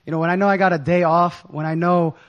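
A young man with a hoarse voice giving an informal sermon in a casual, slangy, excited manner. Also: a little high, quick pace, highs cut off. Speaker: preacher.

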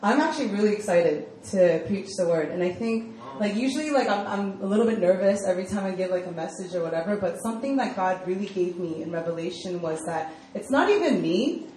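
A woman's voice: mid-range voice, lively intonation, a little fast, a little mellow. A young American woman from Virginia, a campus ministry director, giving a casual testimony-style sermon full of "like" fillers and easy laughter. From United States (Virginia). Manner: chatty, lots of "like" fillers, laughs easily. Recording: echoey hall with faint room noise.

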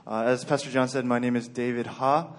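A young man from Texas giving a sermon in a calm, even-keeled way with frequent ums and okays. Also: mid-range voice, a little fast. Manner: even-keeled, plain-spoken, frequent "um" and "okay". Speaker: church speaker.

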